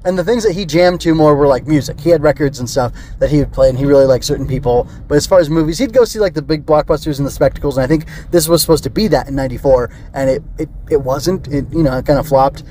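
A man's voice: fairly high pitch, quick pace, few pauses, dark tone, a little hiss or hum. A middle-aged man hosting a solo podcast, talking casually and rambling with digressions. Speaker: podcast host. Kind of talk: casual solo review chat.

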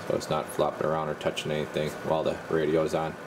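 A man with a low, gravelly voice casually talks through a hands-on repair as a technician, plain-spoken and thinking aloud. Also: completely flat delivery, fast, background noise. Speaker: radio repair technician presenting his work.

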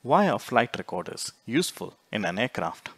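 A man reading scripted instructional narration in a measured, explanatory way, phrase by phrase. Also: on the low side, sing-song, a bit bright, breathy edge, no room sound, clean studio sound. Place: close, dry voice-over recording.

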